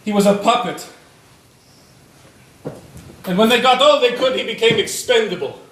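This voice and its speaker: An actor playing an angry, bitter prisoner in a stage play, with an intense, dramatic delivery. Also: male voice, very high voice, lively intonation, normal speed, fairly bright tone, slight background noise. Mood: bitter remembrance. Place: theatre stage, recorded from a distance. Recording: echoey stage, distant microphone.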